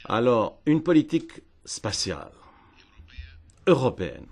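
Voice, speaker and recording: A man interpreting a political speech live in a parliament hemicycle, phrasing in halting bursts and repeating words as he catches up. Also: mid-range voice, very animated. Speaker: simultaneous interpreter.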